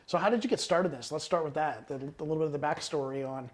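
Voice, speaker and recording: A man hosting an interview as a photographer, speaking in a relaxed, chatty way with lots of "uh" fillers, indoors at a rented home. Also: fairly high pitch, fast. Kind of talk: casual sit-down interview.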